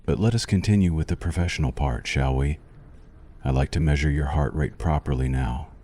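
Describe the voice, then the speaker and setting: Male actor as vampire doctor for ASMR: extremely low, mellow voice, formal, possessive, softly menacing. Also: a little fast. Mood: clinical, teasing. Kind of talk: ASMR roleplay, scripted monologue to the listener.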